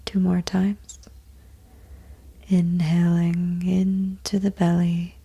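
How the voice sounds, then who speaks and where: A woman leading a guided yoga nidra meditation in a soft, breathy near-whisper, speaking gently in short phrases with long pauses. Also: lowish voice, completely flat delivery. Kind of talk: slow guided meditation, soothing instruction.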